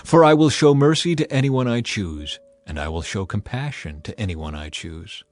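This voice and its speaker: A man's voice: fairly low pitch, animated, normal speed, faint music behind, natural voice. A man voicing a solemn, commanding God in dramatized scripture, grave and declamatory. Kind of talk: dramatized audiobook acting.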